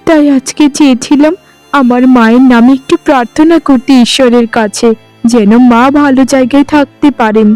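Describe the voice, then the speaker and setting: A woman voicing a meek, sorrowful young stepdaughter in a melodramatic cartoon, pleading and gentle. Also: high-pitched, moderate pace, no room sound, soft background music, close to an everyday voice. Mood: meek, apologetic.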